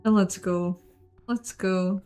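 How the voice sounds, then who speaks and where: A woman's voice: mid-range voice, fairly even intonation, slow, warm tone. A woman giving casual, chatty let's play commentary, thinking aloud in a self-deprecating way. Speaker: host of a game playthrough, voicing the dialogue. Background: game music.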